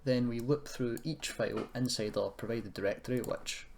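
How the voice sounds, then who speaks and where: A man giving an informal software tutorial, thinking aloud in a hesitant, self-deprecating way as he goes. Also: medium pitch, moderate pace, gravelly. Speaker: tutorial presenter. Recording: noisy room with keyboard clicking.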